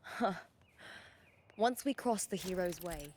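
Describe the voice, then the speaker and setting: An actress voicing a proud young gladiator star in a video game, bold and blunt, with a self-assured, commanding manner. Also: female voice, fairly low pitch, expressive, slow pace, darkish tone. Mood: companionable.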